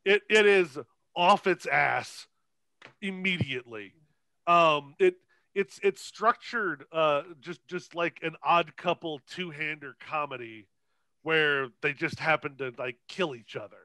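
Male podcast co-host, bright and dry-recorded, irreverent, foul-mouthed, off-the-cuff. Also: fairly high pitch, unhurried, no room sound. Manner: profane, irreverent. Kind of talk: casual, unscripted film discussion.

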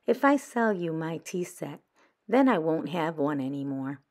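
A sly little girl badger from a storybook, voiced by a woman reader. The voice is on the low side with some creak. The talk is bossy and persuasive, with coy dodging. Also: animated, measured pace, darkish tone. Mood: hesitant, scheming. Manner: persuasive, coyly evasive. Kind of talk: children's picture book read-aloud.

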